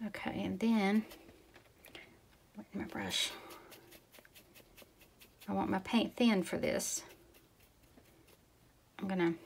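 A woman from Tennessee giving a relaxed step-by-step painting lesson, talking as she paints and sometimes dropping to a whisper. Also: medium pitch, creaky. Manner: relaxed, talks while painting, drops to a whisper at times. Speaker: painting instructor. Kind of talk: casual step-by-step tutorial. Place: indoors at a work table.

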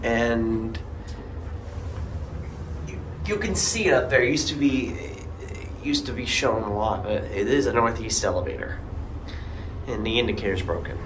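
A man's voice: medium pitch, normal speed, long pauses, background noise. Man narrating elevator rides on phone. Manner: matter-of-fact, plain running commentary. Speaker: elevator video host. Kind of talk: casual narration while filming. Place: parking garage elevators, indoors. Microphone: handheld phone camera.

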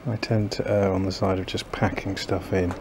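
A man's voice: low voice, level tone, moderate pace. A man chatting casually to camera as he plants in his backyard, musing and second-guessing himself, often trailing off. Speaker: gardening vlogger. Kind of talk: casual thinking-aloud narration while gardening. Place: small backyard garden outdoors. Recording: noisy outdoor ambience.

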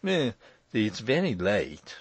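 A man's voice: on the low side, huge pitch swings, slow, husky, no echo, heavily acted. A man voicing a sullen, evasive, nervous householder in an audiobook, hesitant and stammering as he schemes and blusters. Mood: guarded. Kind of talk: audiobook character voice.

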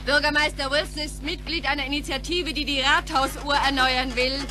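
A woman's voice: high-pitched, fairly even intonation, brisk, close to an everyday voice. An actress playing a zealous female campaigner in a German radio play, proclaiming her cause insistently.

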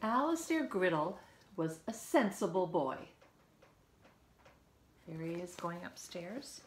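A woman's voice: fairly low pitch, very animated, slow pace, slight background noise. A woman in her fifties reads a picture book aloud to young children, expressively, with chatty asides, recorded at home. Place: living room at home, by a window.

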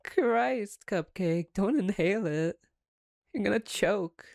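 A woman's voice: mid-range voice, lively intonation, takes it slowly, gravelly, darkish tone, no room sound, clean studio sound. A woman playing a playful, domineering female vampire captor in an intimate ASMR roleplay, teasing and sardonic. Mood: amused exasperation.

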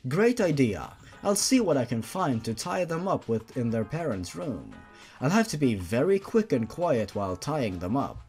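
A man's voice: mid-range voice, big pitch swings, moderate pace, darkish tone. A man voicing an eager, murderous young woman in a story, with exaggerated delivery. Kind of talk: dramatic story reading. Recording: music behind the voice.

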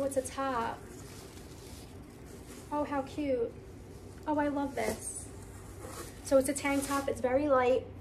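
A woman vlogger chatting casually to camera in an excited, gushing, conversational way, as in an unboxing video. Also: on the high side, noisy background.

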